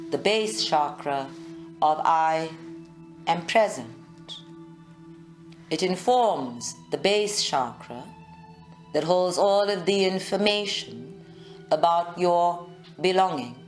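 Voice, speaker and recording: A woman guiding a live meditation in a measured, soothing way, with long pauses. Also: on the low side. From South Africa. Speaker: meditation guide. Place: group meditation session at a centre, recorded live.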